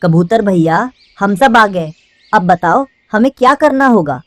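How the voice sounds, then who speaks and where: A mother bird played by a woman in a children's cartoon. The voice is medium-pitched for a woman, recorded very dry. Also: expressive, a little slow, no echo, close to an everyday voice. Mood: expectant. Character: female bird parent in a forest village.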